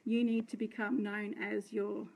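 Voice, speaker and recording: An Australian woman in her thirties chatting unscripted on a live phone video from a room at home, her voice a little croaky, rambling and self-deprecating as she tells her story. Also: medium pitch, little pitch movement, a little slow, a little mellow, rough recording. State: slightly croaky. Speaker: direct sales team leader. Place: indoor room by curtains. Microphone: phone held close, front camera.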